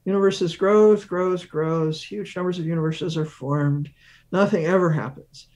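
A man giving a measured, deliberate explanation as an expert guest in a science interview, stressing key words. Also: high-pitched, fairly even intonation, darkish tone. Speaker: guest research professor, physicist.

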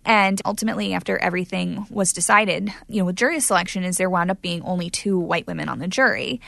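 A woman's voice: lowish voice, fast, creaky, pushed and bright, no room sound. Chatty woman reporter, dry close sound. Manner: chatty, many fillers like "you know". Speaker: court reporter guest. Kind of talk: conversational interview answers.

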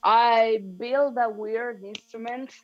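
A woman's voice: a little high, fairly even intonation, slow, no room sound. A young Italian woman, a musician guest, answering interview questions in English with short replies and small laughs. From Italy. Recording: dull, dry, with mains hum.

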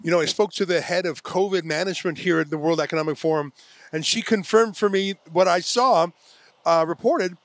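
A man's voice: high-pitched, brisk, no echo. A man interviewing a guest at a conference, chatty and affirming, steering with leading questions. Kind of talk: conversational interview. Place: conference venue.

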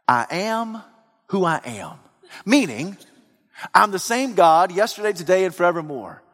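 Male preacher, high and very lively voice, dramatic swings from shouts to hushed tones, echoing hall. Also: unhurried. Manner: dramatic, swings from loud excited to low hushed. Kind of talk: sermon. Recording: long reverb of a large hall.

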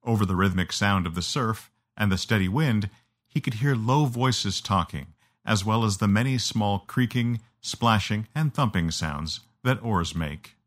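A man's voice: lowish voice, measured pace. A man reading an audiobook as narrator, telling a scripted story.

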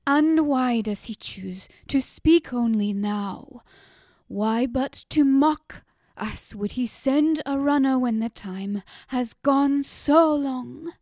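A woman's voice: high-pitched, slow pace, darkish tone, no echo, clean studio sound, voice pushed up. A woman voicing a grieving mother whose voice shakes as she cries out in bitter anger. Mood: furious. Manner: shaking, shrieking, bitter. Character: grieving furious mother, a woman in middle age.

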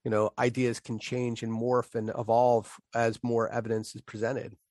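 Easygoing man, mid-pitched, dry close sound. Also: monotone, normal speed, a little mellow, no echo. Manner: easygoing, frequent "right" and "you know", laughs easily. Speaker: podcast co-host. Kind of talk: casual conversation about teaching.

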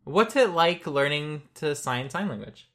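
Girl doing a super deep gruff interviewer voice, dry and teasing, loud. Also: animated, a little slow, heavily acted.

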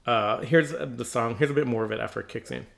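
A man's voice: mid-range voice, fast talker, creaky. A man co-hosting a podcast, chatting loosely about music with plenty of fillers and restarts. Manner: loose and rambling, many fillers. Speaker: podcast co-host. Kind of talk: casual chat about heavy metal.

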